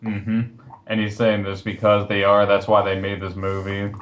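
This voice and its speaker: A man's voice: lowish voice, completely flat delivery, normal speed, a bit bright. A man chatting casually in an online voice call, explaining things in a slightly hesitant, rambling way. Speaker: participant in a recorded online voice chat. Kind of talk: casual chat, recounting a list. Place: recorded Skype call.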